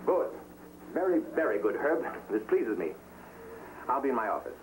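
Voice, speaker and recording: A man's voice: mid-range voice, sing-song, normal speed, natural voice. Guy acting as suave bossy exec in a 50s tv drama, voice goes up and down a lot, noisy old soundtrack. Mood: pleased. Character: suave, exacting male boss of a communist front film company.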